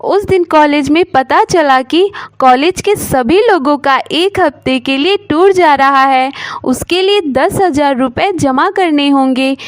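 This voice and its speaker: A woman reads a scripted story as narrator. Her voice is high and her pace slow, in a very dry recording. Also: dry recording.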